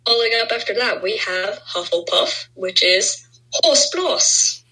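A woman's voice: mid-range voice, animated, measured pace, pushed and bright, low-quality recording. A woman from Norway with a southern Norwegian accent, co-hosting a casual podcast and chatting with many fillers and restarts. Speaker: podcast co-host.

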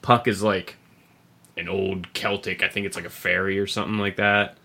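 A man hosting a podcast, explaining video game lore in a casual, rambling, sweary way, with a gravelly, husky voice. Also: deep voice, level tone, moderate pace, sharp tone. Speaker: podcast host.

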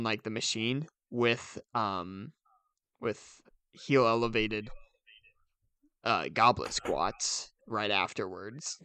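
A man's voice: lowish voice, fairly bright tone, smooth, dry recording. A man co-hosting a casual podcast, explaining things with enthusiasm. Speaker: podcast co-host.

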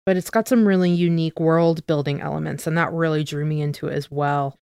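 A woman with a notably low, smooth voice talks casually, as a podcast co-host would. She works out her thoughts aloud and corrects herself along the way. The sound is close and very dry. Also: steady tone, brisk, darkish tone, dry recording. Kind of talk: casual conversation.

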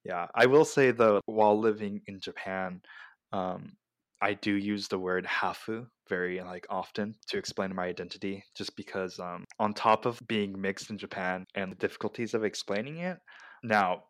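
A man from the United States talking casually as a podcast host, explaining things in a halting, filler-heavy way. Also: on the low side, a bit bright, no room sound. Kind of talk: casual discussion.

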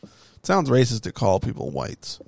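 A man's voice: mid-range voice, big pitch swings, measured pace, vocal fry, a little mellow, slightly rough. A young man hosting a podcast in casual banter, teasing and swearing freely. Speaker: podcast host.

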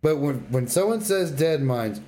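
Mellow-voiced man, slangy gaming podcaster. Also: fairly high pitch, animated, measured pace. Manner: slangy, effusive. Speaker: podcast co-host. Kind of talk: casual gaming chat.

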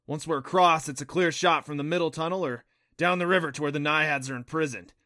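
A man's voice: on the high side, fairly quick, bright, forceful tone, dry recording, studio quality, pitched above the performer's own voice. A man voicing a proud, impatient magical horned beast, explaining things emphatically in an audiobook. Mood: grave, urgent. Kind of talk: audiobook character voice.

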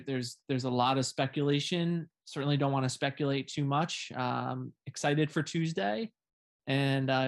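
A man of middling pitch with noticeable vocal fry co-hosts a podcast. He discusses things casually, assembling his thoughts in short, halting phrases. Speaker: podcast co-host.

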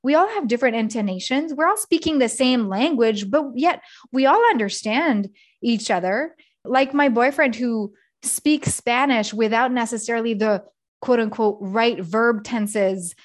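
Thoughtful woman podcast host. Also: a little high. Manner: thoughtful, affirming, measured. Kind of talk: conversational podcast interview.

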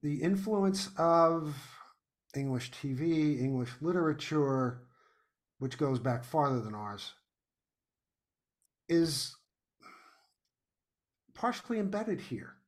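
An American man chatting as an interview guest, thoughtful and halting, often repeating words as he goes. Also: a little high, lively intonation. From United States. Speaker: guest playwright and podcast host. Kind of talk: casual interview chat.

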